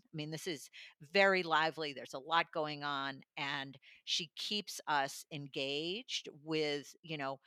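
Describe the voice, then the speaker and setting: Low, bright-voiced woman reviewer. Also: no room sound, clean studio sound. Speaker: audiobook reviewer, studio guest. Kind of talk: conversational book review.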